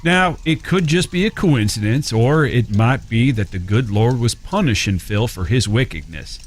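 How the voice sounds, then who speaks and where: An actor playing a wry, easygoing outlaw brother in a western audio drama, speaking in a folksy, teasing way. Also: male voice, mid-range voice, animated, normal speed, close to an everyday voice. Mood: wry storytelling. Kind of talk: first-person storytelling in western audio drama.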